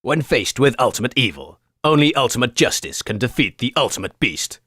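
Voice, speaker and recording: Bombastic man, bright and lively. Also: medium pitch, brisk, slightly rough, dry recording, clean studio sound. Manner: bombastic, theatrical. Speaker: radio comedy host. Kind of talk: comic radio sketch acting and hosting.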